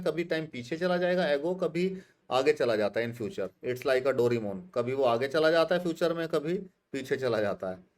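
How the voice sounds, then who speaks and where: Slow-talking math teacher in his fifties. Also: a little high. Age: 50s. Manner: slow explanations, asks students questions and repeats their answers. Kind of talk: classroom lecture mixing Hindi and English.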